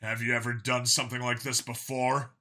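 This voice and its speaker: A man's voice: medium pitch, flat delivery, fairly quick, bright, forceful tone, pitched below the performer's own voice, natural voice. A man voicing a weary, skeptical human cop in an audiobook, plain-spoken and wry. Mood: wry, curious. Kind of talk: audiobook character dialogue.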